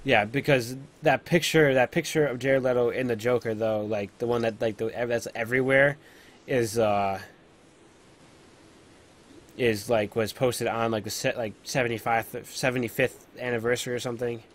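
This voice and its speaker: A man with an average-pitched voice chats as a podcast host. His delivery is casual and unscripted, with a hesitant, halting flow as he works through his thoughts. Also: steady tone. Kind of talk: casual live podcast chat.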